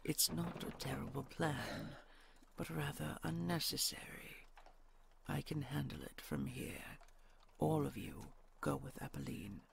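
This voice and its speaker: A woman voicing a haughty, possessive dragon queen in an audio roleplay, regal and condescending, with a gravelly voice. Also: rumbling low, animated, measured pace, a little hiss or hum, heavily acted. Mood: cool, commanding. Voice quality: gravelly, creaky. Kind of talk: dramatic audio roleplay acting.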